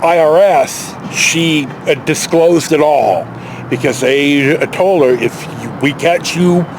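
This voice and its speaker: Middle-aged American male, high register, halting and reflective casual storyteller; noisy recording with car and street sound. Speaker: storyteller vlogger.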